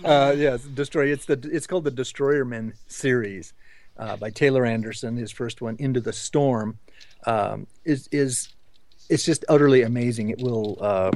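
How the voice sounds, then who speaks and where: A man's voice: medium pitch, moderate pace, a little mellow, no echo. Emphatic man, dry close sound. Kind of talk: casual book discussion.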